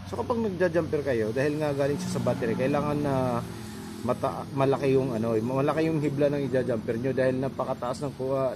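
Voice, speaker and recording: Filipino repairman over noisy highway traffic. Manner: halting, explanatory, with frequent check-in tags. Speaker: electronics repair technician and video host. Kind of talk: casual repair walkthrough. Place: repair spot beside a highway. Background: loud vehicle and traffic noise.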